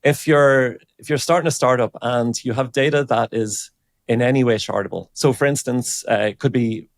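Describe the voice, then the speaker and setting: A man explains technical matters conversationally, with a creaky edge to his voice. He talks in a halting, informal way, working out his thoughts aloud, and the sound is close and dry. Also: medium pitch, fairly even intonation, no echo. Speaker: engineering director, interview guest.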